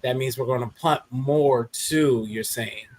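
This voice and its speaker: A man's voice: medium pitch, unhurried, no room sound. Joking man with a dry close voice. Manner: animated, joking, laughs a lot. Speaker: podcast co-host. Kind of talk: casual sports podcast chat.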